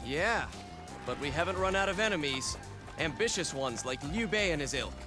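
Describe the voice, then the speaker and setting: A man's voice: a little high, expressive, normal speed, close to an everyday voice. A man playing a protective, brash elder-brother warrior in a video game, with a hearty manner. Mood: casual. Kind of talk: dubbed video game cutscene acting. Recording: game soundtrack music behind.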